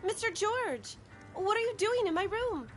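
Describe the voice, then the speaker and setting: A woman voicing a brisk, bossy young lady in a cartoon video game, prim and chiding. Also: very high-pitched, animated. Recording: loud music behind.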